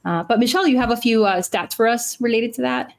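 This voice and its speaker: A woman's voice: fairly high pitch, fast, creaky. A woman in her forties co-hosting a chat show, explaining with lots of "uh" fillers. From Cuban and Dominican background. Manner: many "uh" fillers, earnest explaining. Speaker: podcast co-host, clinical psychologist. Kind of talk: casual chat.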